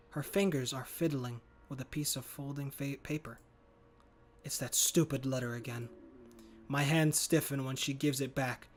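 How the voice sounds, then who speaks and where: A man reading a visual novel's narration aloud as a gaming host, plainly and correcting his own slips. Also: medium pitch. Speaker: gaming YouTuber.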